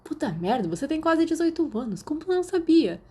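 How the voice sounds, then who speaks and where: Audiobook role: teenage boy played by a woman, animated, teasing, brooding, mellow tone. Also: high-pitched, a little fast, voice pushed up. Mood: exasperated. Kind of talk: audiobook character dialogue.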